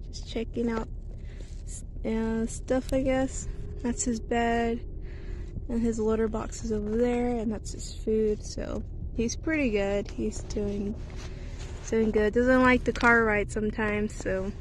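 Slow-talking woman filming in a car. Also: fairly high pitch, little pitch movement, rough recording. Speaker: vlogger. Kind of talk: casual vlog narration. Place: inside a car on a road trip. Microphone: phone camera held in hand. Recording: car ride noise behind. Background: car engine and road noise.

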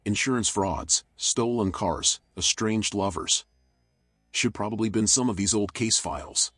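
A man voicing a world-weary raccoon private eye in a noir game, wry, sardonic and deadpan. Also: lowish voice, unhurried, breathy edge, no room sound. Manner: dry, wry, deadpan muttering. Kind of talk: noir game voice acting, internal monologue and dialogue.

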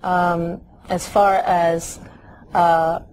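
A woman's voice: on the low side, flat delivery, drawn-out words, a little mellow, rough recording. A woman in her thirties, a singer, answering interview questions on a TV studio set, laughing easily and thinking aloud. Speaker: singer, guest. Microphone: lapel mic. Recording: faint hiss, old TV tape.

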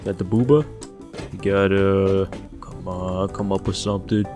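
A young man with a deep, low voice talks through his gameplay in a loose, joking way, cursing when things go wrong and thinking out loud as he goes. Faint music runs under his voice. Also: steady tone, a little slow. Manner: swears often, jokes, thinks aloud. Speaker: streamer playing a survival game. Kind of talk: casual gameplay commentary.

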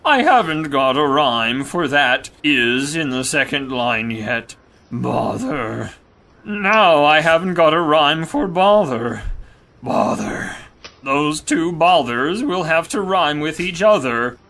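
Male actor as a self-important, grumbling donkey poet for children's audio play; dragging delivery, lively melodic swings. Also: a little high, heavily acted. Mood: gloomy, reciting. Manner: ponderous, halting, grumbling. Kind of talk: children's audio drama acting.

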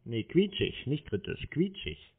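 A man's voice: mid-range voice, very animated, unhurried, gravelly, husky. A man chatting casually on a phone call in a dry, provocative, unruffled manner, as someone who baits call-centre scammers. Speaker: prank call creator baiting call-centre scammers. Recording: narrow phone-band radio-like sound.

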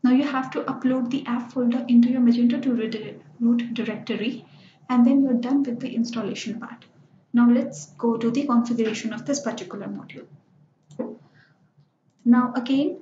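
A woman narrating a screen-recorded software tutorial, explaining each step plainly with lots of "so" and "like". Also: fairly high pitch, a little hiss or hum. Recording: dull, roomy screencast voice-over.

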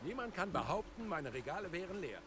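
High-voiced, courteous village shopkeeper man. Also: very animated, a little fast, noisy background. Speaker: game character, shopkeeper.

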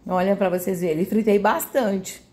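A woman's voice: medium pitch, steady tone, normal speed, dark tone. Chatty Brazilian woman narrating a recipe. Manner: chatty, homely, often seeking agreement with tag questions. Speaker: home cooking video host. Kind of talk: casual recipe voice-over.

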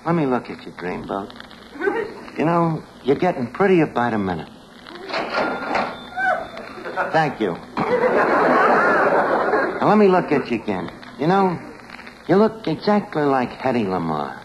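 A man playing a scheming, flattering New York tavern manager with a New York accent and mangled slang in a 1940s radio comedy. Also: medium pitch, normal speed, long pauses, a faint crowd, close to an everyday voice. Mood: smooth-talking, flattering. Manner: ungrammatical slang with misused fancy words. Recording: noisy old radio broadcast, studio audience. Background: loud studio audience.

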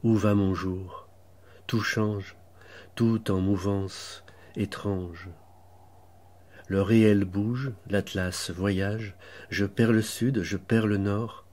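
A man reciting literary poetry in a measured, contemplative manner, with deliberate pauses between short phrases and a husky voice. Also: fairly low pitch, fairly even intonation, a little mellow. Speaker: poet reading own poems.

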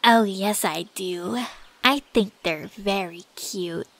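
A woman's voice: mid-range voice, slow pace, creaky. A woman voicing a smitten, swooning female cartoon beaver with a lovesick, sighing manner. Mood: lovestruck.

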